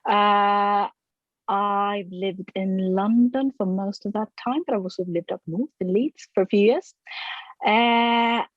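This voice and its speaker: A middle-aged woman from Sweden, a potter answering interview questions thoughtfully, with frequent pauses, fillers and restarts. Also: medium pitch, dry recording, highs cut off. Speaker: ceramic artist, interviewee.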